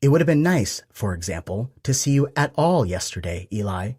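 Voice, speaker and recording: A man's voice: fairly low pitch, big pitch swings, fairly quick, darkish tone, breathy edge. A man voicing a prim, passive-aggressive middle-aged mother in an audiobook. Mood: reproachful. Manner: prim, reproachful.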